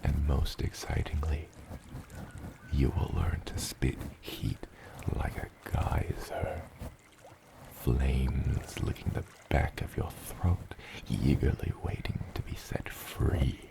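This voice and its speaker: A man voicing a gentle, doting father dragon in an ASMR roleplay, murmuring slowly and tenderly and often dropping to a whisper. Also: animated, heavy background noise, exaggerated voice. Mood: tender, encouraging. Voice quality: very deep, gravelly. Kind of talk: ASMR roleplay, addressing the listener as his child.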